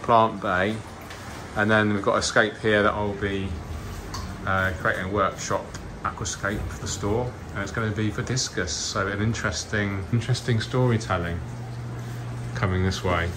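A man's voice: fairly low pitch, steady tone, moderate pace, background noise. A man hosting an aquascaping vlog, giving a chatty, enthusiastic tour of an aquarium shop, recorded on a handheld camera. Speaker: aquascaping channel host.

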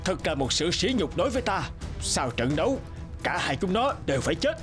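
A high-pitched, cruel old king. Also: big pitch swings, fairly quick, music in the background. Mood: stern anger. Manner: imperious, harsh. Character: cruel, domineering old king, fat and wrathful. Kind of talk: animated fairy tale dialogue.

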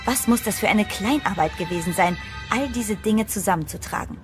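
An actress playing a sensible, uneasy young heroine in a horror audio drama, earnest and measured. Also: female voice, medium pitch, music in the background, lightly acted. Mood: reflective, uneasy.

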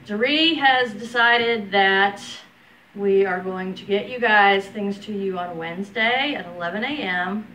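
A middle-aged woman, a preschool teacher talking and reading a picture book aloud to young children in an expressive, deliberate way. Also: medium pitch. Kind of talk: picture-book read-aloud with chatty announcements. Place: home room at a wooden table, indoors. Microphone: camera across the table. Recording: roomy, distant mic, background room noise.

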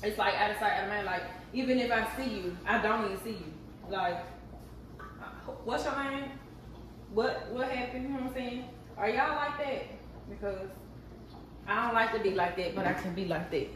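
A woman's voice: medium pitch, normal speed, a bit bright, heavy reverb, recorded from a distance, a little hiss or hum, low-quality recording. A woman, chatting candidly and animatedly to her viewers while cooking in her kitchen. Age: 30s. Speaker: vlogger. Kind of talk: casual chat while cooking. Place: home kitchen.

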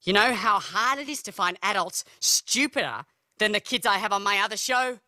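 Bright, agitated TV host acted by a man. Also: high voice, animated, normal speed, no room sound, exaggerated voice. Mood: bullying, angry. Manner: agitated, self-important. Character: paranoid, bullying male TV comedy host. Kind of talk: animated satire dialogue.

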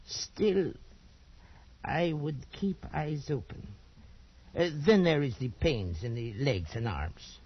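An actor playing a nervous, slyly dismissive Portuguese trader with a Portuguese accent, a husky gravelly voice and hesitant broken English. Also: male voice, medium pitch, expressive, takes it slowly, exaggerated voice. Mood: uneasy, insinuating. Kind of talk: 1940s radio acting. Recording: dull old radio recording.

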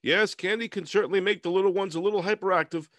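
A man's voice: high voice, fairly even intonation, fast talker, dry recording, lightly acted. A man playing a polite, earnest news anchor in a comedy parody, speaking in a formal, agreeable, mock-serious way. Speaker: news host.

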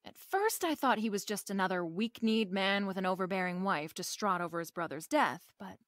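A wry female private eye from a radio detective drama, played by an actress. She is confident and slangy, wisecracking in a mid-pitched voice. The sound is close and dry. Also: lively intonation, fairly quick, a bit bright, no echo, lightly acted. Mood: breezy, confident.

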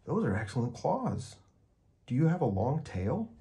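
A man's voice: mid-range voice, big pitch swings, moderate pace, dark tone, voice pushed up. Man reading as a bold, giggly young boy. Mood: curious, indignant.

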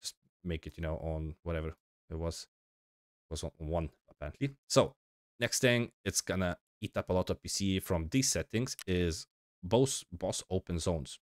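A young man with a very deep voice talks casually over his gameplay, his voice a little crackly from being under the weather. He rambles with fillers and restarts, and the sound is dry and close to the mic. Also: lively intonation, moderate pace, dry recording. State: sick, slightly crackly voice. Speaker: gaming channel host.

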